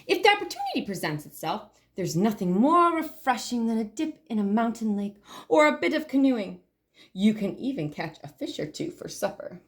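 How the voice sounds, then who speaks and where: A woman's voice: fairly high pitch, sing-song, moderate pace. A woman hosting a library storytime, reading a picture book aloud expressively with chatty asides to the children.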